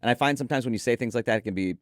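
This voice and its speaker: A man's voice: medium pitch, very fast, no room sound, clean studio sound. A man hosting a conversational product preview, reading out specs and chatting with enthusiasm about them. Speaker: host presenting an audio gear preview.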